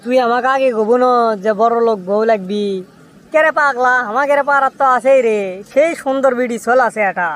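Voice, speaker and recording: A man voicing a cheeky young village friend in a comic cartoon, teasing and glib, with a Bogura accent and a pitched-up effect. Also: brisk, a bit bright. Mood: glib persuading. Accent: Bogura, northern Bangladesh. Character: cheeky young village buddy, teasing self-styled matchmaker.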